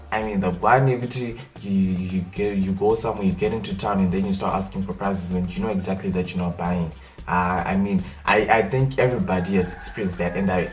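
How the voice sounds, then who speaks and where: A young Zimbabwean man with a Zimbabwean accent, a native Shona speaker, talking in a casual comedic vlog rant, animated and exasperated, repeating his points. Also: lowish voice, far from the mic. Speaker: vlogger.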